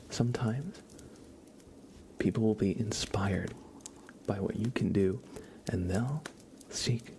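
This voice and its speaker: A man hosting an ASMR livestream, giving card readings in a gentle, halting way as he thinks aloud. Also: fairly low pitch, gravelly, a little hiss or hum. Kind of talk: whispered ASMR, casual tarot card reading.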